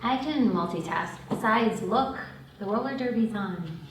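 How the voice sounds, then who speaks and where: Woman acting an easygoing, very slow-talking young woman. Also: mid-range voice, animated, a little hiss or hum, natural voice. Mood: laid-back. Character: easygoing, peacemaking young woman in a heist crew. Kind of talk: screenplay table read. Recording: very echoey room, distant mic.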